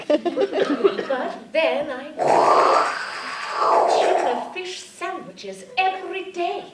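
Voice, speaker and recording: A woman's voice: high-pitched, exaggerated voice. A woman playing an earnest, deferential assistant in a comic radio-theatre parody, speaking in a formal, theatrical way. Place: arts centre stage, live performance. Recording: roomy live stage, very noisy, distant.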